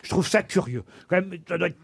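A man hosts a radio panel show in a studio, his voice sitting high for a man. He teases the panelists and fires quiz questions at them in lively banter. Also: brisk, vocal fry, breathy edge, dry recording. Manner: teasing, animated, quizzing panelists.

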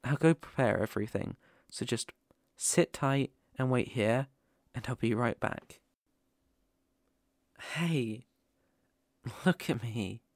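A British man playing a protective, dominant lone werewolf in an ASMR roleplay, soothing and deliberate with frequent pauses. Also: mid-range voice, no echo, clean studio sound. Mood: soothing, caring, then protective, resolute.

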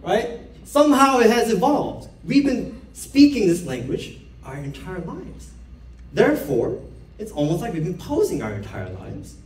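A man's voice: high-pitched, big pitch swings, unhurried. A young man from Korea giving a playful stage talk to a live audience and asking the crowd questions. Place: dark theater stage with an audience. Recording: roomy stage sound heard from far off.

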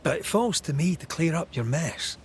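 A man playing a resolute, grave ghost hunter in a dramatic video game, measured and stern, with a gravelly voice. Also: a little high, animated, a little hiss or hum, close to an everyday voice.

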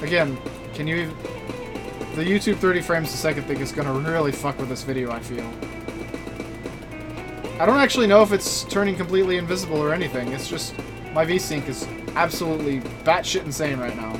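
A gaming video host giving casual, rambling, joking commentary, excitable and given to swearing. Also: medium pitch, fairly quick. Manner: excitable, with bursts of exclamation. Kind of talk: casual let's play commentary. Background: loud video game music.

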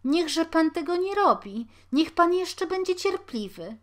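A woman voicing a harried poor mother who scolds loudly and pleads tearfully. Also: extremely high pitch, very animated, a little slow, voice pushed up, full character voice. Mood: pleading.

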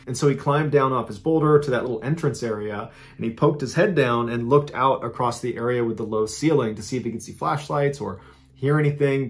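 A man in his thirties, the show's host, telling a true story to camera from a studio in an animated, conversational way. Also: mid-range voice. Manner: animated, conversational retelling with dramatic emphasis. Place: indoor studio with a green-screen backdrop.

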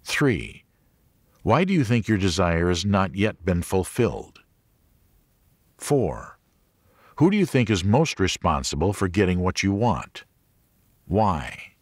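A man reading a religious audiobook as a scripted narration, in a measured, clearly enunciated manner. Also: low-pitched, very animated, no room sound, clean studio sound.

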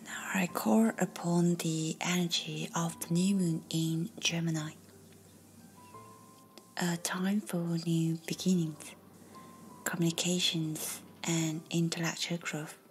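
Lady whispering a guided meditation, low-ish voice, faint music underneath. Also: level tone, rough recording. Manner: slow soft whispering delivery.

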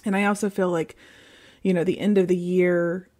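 A woman's voice: lowish voice, little pitch movement, moderate pace, a little mellow. A woman in her thirties from the United States, chatting casually in a vlog with lots of ums and likes while cleaning her home kitchen. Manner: rambling, many ums and likes. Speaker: vlogger. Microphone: phone at arm's length, later far camera.

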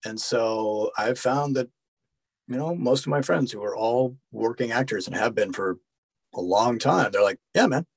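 A man's voice: medium pitch, lively intonation, normal speed, gravelly, husky, no room sound, poor audio. A man speaking as an interview guest, an actor and director chatting casually about his work.

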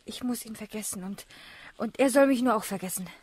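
A frightened, submissive young girl with a high voice, recorded dry. She speaks anxiously and timidly, in radio play style. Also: brisk, darkish tone, breathy edge, no echo. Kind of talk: German radio play acting.

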